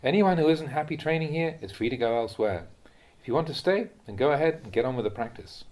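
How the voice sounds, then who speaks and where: A man reading a translated Buddhist talk aloud as a monk would teach, in a measured, instructive manner. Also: medium pitch, darkish tone.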